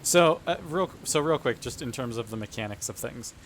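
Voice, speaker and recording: Mid-pitched man at a game table. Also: expressive, normal speed, over a noisy crowd. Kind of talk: casual game-table talk.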